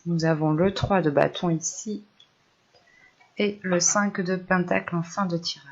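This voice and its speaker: A woman's voice: on the low side, steady tone, measured pace, dull audio. A woman giving an improvised tarot reading, searching for her words and often repeating phrases as she goes. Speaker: tarot reader.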